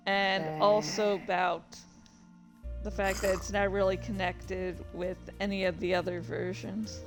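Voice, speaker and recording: A woman reading their own fan fiction aloud as a streamer, moving between story narration and casual asides. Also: medium pitch.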